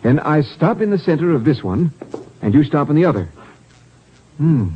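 A genteel, fatherly middle-aged man in a 1950s radio crime drama. His voice is fairly high and very lively, with a fussy manner. The recording is muffled and faintly noisy. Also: moderate pace. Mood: careful, nervous. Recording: dull, hissy old radio recording.

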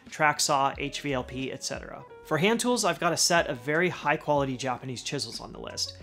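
A middle-aged man with a slightly raised pitch talks through a list of workshop tools. Each item gets an upbeat lift, then his voice settles as he finishes the thought. The light music underneath keeps it feeling like a produced channel segment. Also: a bit bright. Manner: upbeat list-style delivery, dropping at the end of each item. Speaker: woodworking channel host. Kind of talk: scripted product rundown. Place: home garage workshop.

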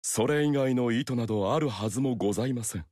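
A man's voice: mid-range voice, fast talker, darkish tone, dry recording, natural voice. An actor voicing a courteous, humble male retainer in a game, speaking formally. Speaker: game character. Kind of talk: game dialogue. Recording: dry studio recording.

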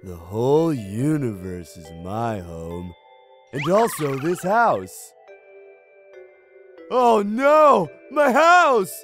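A man's voice: on the high side, very animated, drawn-out words, a bit bright, soft background music, full character voice. A man voicing a dopey, dazed hippie gym leader in a cartoon parody dub, drawling and easily distracted. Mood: alarmed.

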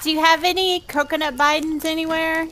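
A woman's voice: very high voice, level tone, fairly quick, a crowd in the background. A woman joking lightly with teammates while playing a co-op game in voice chat. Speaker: co-op player. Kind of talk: casual gaming voice chat.

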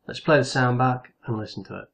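A man giving a casual step-by-step software tutorial as its host, in an instructional voice-over. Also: medium pitch, fairly quick, vocal fry.

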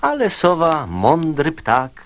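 A man's voice: on the high side, wildly swooping pitch, takes it slowly, slight background noise. A man narrating children's verse in a theatrical radio storytelling style.

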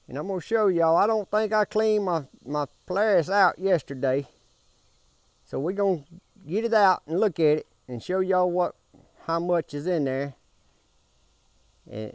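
A man's voice: on the high side, darkish tone, dry recording. An older man gives a folksy, casual how-to talk outdoors by his backyard pool, speaking to a camera a few feet away. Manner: folksy, down-home, repeats himself. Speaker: homestead vlogger.

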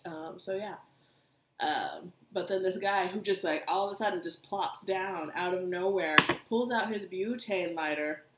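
A young woman, co-hosting a podcast and telling stories in an animated, casual way. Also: lowish voice, a little slow, a little hiss or hum. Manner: animated storytelling, many asides. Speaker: podcast co-host. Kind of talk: casual chat. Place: living room couch, indoors. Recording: echoey room.

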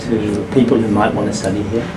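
A man's voice: on the low side, level tone, brisk, distant mic, background noise. Off-mic male interviewer. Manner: short prompting questions. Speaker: interviewer, teacher. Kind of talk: interview questions. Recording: off-camera voice, loud air conditioning.

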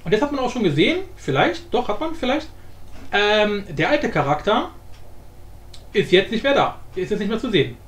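A man giving a casual, informal software tutorial, explaining step by step. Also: sits high, very animated, moderate pace. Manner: informal, explanatory, often adds tag questions. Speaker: tutorial host. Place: indoor room, voice-over of screen recording. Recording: roomy echo with faint background noise.

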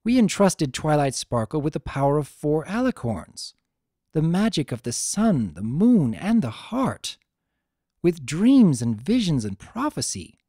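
Man acting proud younger-sister pony princess, storybook reading, formal, emphatic, mellow, very varied intonation. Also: fairly high pitch, very animated, a little slow, no echo, studio quality, voice pushed up. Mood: scornful, emphatic.

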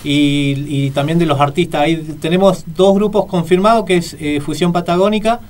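A man's voice: on the high side, normal speed, darkish tone, far from the mic. A man from the municipality explaining event plans in a radio interview, talking at length with many restarts.

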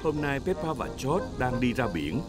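A man's voice: medium pitch, very animated, moderate pace, loud music behind the voice, close to an everyday voice. A man narrating a children's cartoon as a cheerful storyteller, in scripted dub style.

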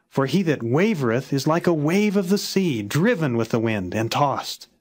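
Measured, solemn male scripture reader. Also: fairly high pitch. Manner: measured, solemn, formal phrasing. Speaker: Bible reader, narrator. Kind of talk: non-dramatized scripture audiobook reading.

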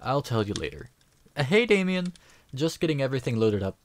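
A woman plays a patient, kindly adventurer in a fantasy audio drama. The voice sits very low for a woman and is recorded dry. The delivery is gentle, casual and reassuring. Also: very animated, a little fast, dry recording. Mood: casual, friendly.

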